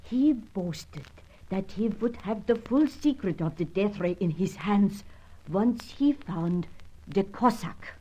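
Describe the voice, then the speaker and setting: A distressed European widow in an old radio adventure serial. She speaks in a medium-pitched voice with formal, careful phrasing. Her delivery is fearful, confiding and melodramatic. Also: animated, slow pace, warm tone, poor audio. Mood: grave, explaining.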